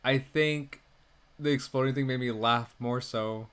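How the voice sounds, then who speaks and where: Dude on a movie review podcast, medium pitch, kinda halting, loose and jokey chat. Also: male voice, steady tone, fairly bright tone, smooth. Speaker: podcast co-host and film reviewer. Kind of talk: casual film review chat.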